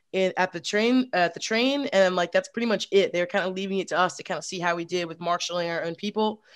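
A young woman chatting on a video call with a headset, telling her story in an energetic, rambling way, full of "like". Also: medium pitch, no room sound. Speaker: supporters group organizer. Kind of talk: casual video call chat.

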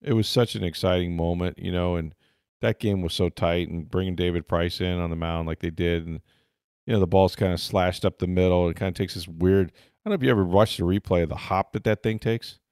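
A man's voice: sits low, steady tone, brisk, darkish tone, clear, resonant tone, no room sound, clean studio sound. A man hosting a sports podcast, chatting casually about games and players. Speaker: sports podcast host and football reporter.

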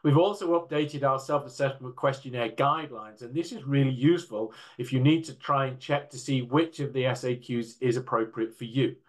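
Older man, mid-pitched, expert panellist explaining slides step by step on a video call. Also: fairly quick, few pauses. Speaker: panel speaker from a standards council. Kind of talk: slide presentation in an online panel.

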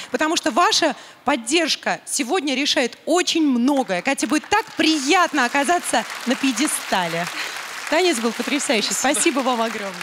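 High-voiced woman host, live studio, audience noise. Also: a bit bright. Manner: chatty, hesitant fillers. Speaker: TV show co-host. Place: large TV studio stage. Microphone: handheld.

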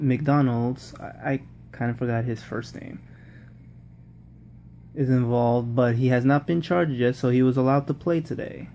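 Rambling man with a dark voice. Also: mid-range voice, a little hiss or hum. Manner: rambling, repeats "like, seriously". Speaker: football vlogger. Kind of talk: casual post-game commentary.

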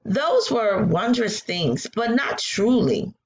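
Animated woman teaching a read-aloud lesson. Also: medium pitch, slow pace, highs cut off. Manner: animated and encouraging, praising answers. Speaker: teacher reading aloud to a class. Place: living room at home. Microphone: laptop webcam at close range.

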